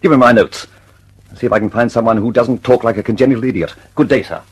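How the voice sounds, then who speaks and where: A man's voice: medium pitch, fast talker, background noise, natural voice. An actor playing an earnest, impatient British male inventor in a radio drama, speaking emphatically and precisely. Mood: indignant.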